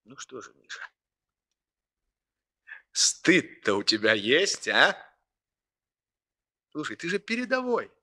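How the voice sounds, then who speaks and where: A man's voice: fairly high pitch, huge pitch swings, slow pace, pauses often, bright, forceful tone, exaggerated voice. A man acting in a 1960s radio play as a stern, moralizing boss, hectoring and emphatic as he scolds the young. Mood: stern accusing.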